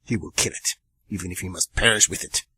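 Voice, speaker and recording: A man's husky, fairly low voice with a bright, forceful edge tells the story in a dramatic, ominous way. Every line sounds like a tense hunt unfolding. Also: fast talker. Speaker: narrator. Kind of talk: scripted narration for an amateur radio drama.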